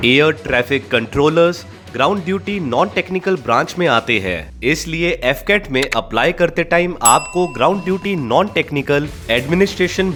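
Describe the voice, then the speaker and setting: A man's voice: medium pitch, a bit bright. A man with an Indian accent giving a scripted, instructional explainer voice-over as a channel host. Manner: instructional, mixes Hindi with English terms. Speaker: host of an exam-preparation channel. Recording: voice-over with music behind. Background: music.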